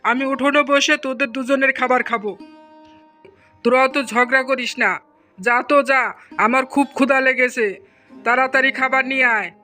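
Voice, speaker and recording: Man acting exasperated village fisherman, very high bright voice, scolding, rustic, cartoon dub, music behind. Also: steady tone, normal speed, long pauses, exaggerated voice. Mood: bossy. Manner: complaining, scolding, plain rustic speech. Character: exasperated, put-upon village fisherman with two quarrelling wives. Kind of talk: Bengali fairy tale cartoon dubbing.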